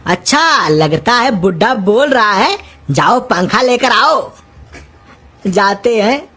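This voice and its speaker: A woman's voice: a little high, lively intonation, takes it slowly. A woman voicing a silly, half-deaf young male cartoon helper, whiny and naive. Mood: whiny complaining. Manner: whiny, naive, loudly querulous. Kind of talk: cartoon comedy dialogue in rustic Hindi.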